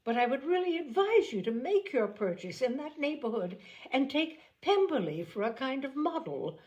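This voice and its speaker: A haughty young society lady played by a woman narrator, high and wildly swooping in pitch. She is snobbish and emphatic, leaning on her words with exaggerated scorn and gush, as in a classic novel read aloud. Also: very animated, moderate pace, a little mellow. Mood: effusive, flattering. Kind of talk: audiobook character acting.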